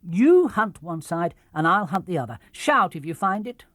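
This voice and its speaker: A man voicing a brisk, excitable young girl sailor with an English accent in a storybook. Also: high voice, dry recording, clean studio sound. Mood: brisk, commanding. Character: bossy, eager, adventurous young girl sailor.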